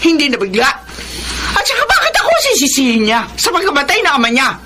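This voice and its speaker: A haughty, unrepentant Filipino mother in a radio melodrama. Her high voice swoops indignantly in a confrontational Filipino accent, over clear background noise. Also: sing-song, fast talker. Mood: scornful, defensive. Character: defiant, scornful middle-aged mother, indignant and unrepentant. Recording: noisy radio drama track.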